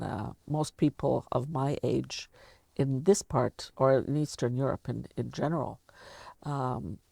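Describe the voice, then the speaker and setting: An older woman with a Canadian accent, talking reflectively and deliberately as an interviewer in a sit-down conversation. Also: very deep voice, creaky, darkish tone, dry recording. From Canada, of Latvian descent. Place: furnished indoor lounge studio.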